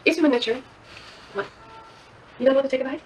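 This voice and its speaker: A woman's voice: high voice, quick pace, darkish tone, slight background noise, lightly acted, low-quality recording. A woman playing a playful, seductive and probing character in naturalistic drama dialogue, teasing as she talks. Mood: flirtatious, playful.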